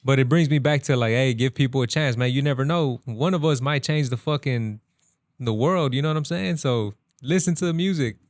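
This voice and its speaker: A man's voice: mid-range voice, a little fast, dry recording. Laid-back man speaking frankly, dry sound. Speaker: guest rapper. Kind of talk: casual chat.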